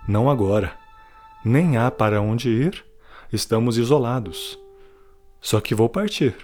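A man playing a weary, guilt-ridden prisoner who confides a farewell testimony in a solemn, deliberate audio drama monologue. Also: mid-range voice, expressive, darkish tone, faint music behind, natural voice. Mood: grim, resigned.

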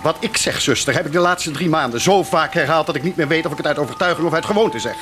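An actor playing a devout, anguished parish priest in an earnest, pleading radio drama manner. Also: male voice, a little high, fast, music in the background, lightly acted. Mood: fervent, anguished. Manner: earnest, pleading, fervent declamation. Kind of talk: theatrical radio drama acting.

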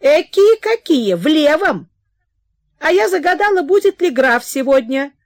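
A woman's voice: big pitch swings, unhurried, no room sound, studio quality, full character voice. A woman reading the part of a fussy older mother who shrilly calls out from another room in a storybook reading. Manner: shrill, calling out loudly.

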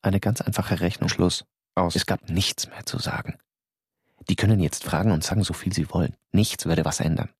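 A man's voice: low voice, steady tone, normal speed, slightly rough, dry recording, studio quality. A man playing a restless, insistent Austrian stage actor in a radio drama, telling his story and arguing. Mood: weary, reflective. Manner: insistent, probing. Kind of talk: radio drama narration and dialogue.

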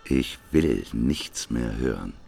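A man's voice: extremely low pitch, expressive, slow, creaky, darkish tone, a little hiss or hum, full character voice. A man playing a grumpy, weary prophet in a radio drama, curt and irritable. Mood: tired, resigned. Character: weary, grumpy male prophet wanting to be left alone.

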